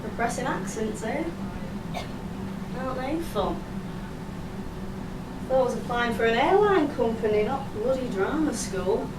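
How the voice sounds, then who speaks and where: Heard from a distance in an echoing space, an actress plays a laid-off Yorkshire air hostess in her fifties. She is rueful, sardonic and earthy, delivering a theatrical confession at a slow, deliberate pace and a fairly high pitch. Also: animated. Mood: wry, sardonic. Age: 50s. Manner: wry, confessional, sweary. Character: rueful fifty-two-year-old laid-off flight attendant, sardonic and earthy. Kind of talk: theatrical dramatic monologue. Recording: roomy, distant mic, loud background noise.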